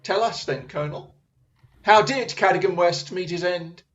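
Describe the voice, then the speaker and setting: An actor playing a shrewd, commanding Victorian English detective in a radio play, incisive, formal and coolly relentless. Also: male voice, high voice, normal speed, fairly bright tone, lo-fi sound. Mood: probing.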